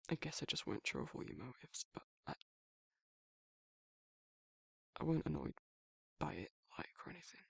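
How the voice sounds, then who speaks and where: A man's voice: on the high side, very animated, quick pace, a bit bright, no echo, studio quality, full character voice. A man playing a protective, awkward werewolf in an intimate ASMR roleplay, speaking breathily and hesitantly, often near a whisper. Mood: awkward, sincere. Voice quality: husky, breathy, gravelly, often dropping to a whisper. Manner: hesitant, rambling, bashful, informal. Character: protective, awkward, soft-hearted male werewolf confiding in a friend.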